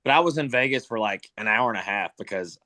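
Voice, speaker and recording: Fast-talking North Georgia man. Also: lowish voice. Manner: quick, animated, restarts. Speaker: band member guest. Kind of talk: casual interview chat.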